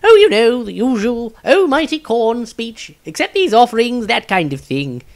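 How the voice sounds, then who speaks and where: A man's voice: very high voice, very animated, moderate pace, gravelly, pitched above the performer's own voice, heavily acted. A man voicing a flustered, chatty, cake-loving wizard in a fantasy storybook reading. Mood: breezy, apologetic. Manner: chatty, apologetic. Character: flustered, cake-obsessed, cheerful male wizard.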